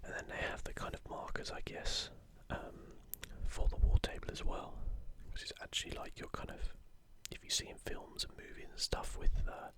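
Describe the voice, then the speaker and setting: A man hosting an ASMR video in a breathy, unvoiced whisper close to the microphone, with hesitant, informal commentary. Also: normal speed, few pauses, bright, forceful tone.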